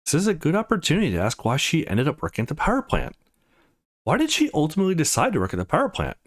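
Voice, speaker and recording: A man's voice: on the high side, wildly swooping pitch, fast talker, voice pushed up, full character voice. A man voicing an awkward, guarded eighteen-year-old male student from a visual novel, earnest and a little hesitant. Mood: curious.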